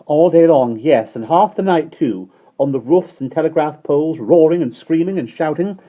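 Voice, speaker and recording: A man voicing a stern, brisk nanny in a storybook reading, sharp and scolding, on a dull lo-fi recording. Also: a little high, pitched above the performer's own voice, natural voice.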